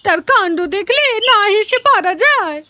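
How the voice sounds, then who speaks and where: A woman's voice: very high voice, lively intonation, normal speed, background noise. A woman voicing a quarrelsome, mocking village wife in a moral-story cartoon, with a scolding, sarcastic manner.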